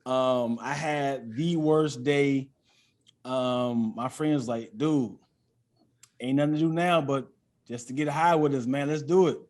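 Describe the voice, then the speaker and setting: A man's voice: medium pitch, slow. A man who is a comedian guesting on a podcast, talking in a casual comic storytelling style and acting out dialogue. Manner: animated, acts out quoted dialogue.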